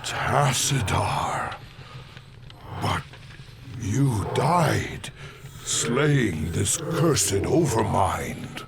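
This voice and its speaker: A man voicing a grim alien warrior, narrating in a measured, grave way. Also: on the low side, animated, creaky, background noise, full character voice. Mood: incredulous. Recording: orchestral music behind.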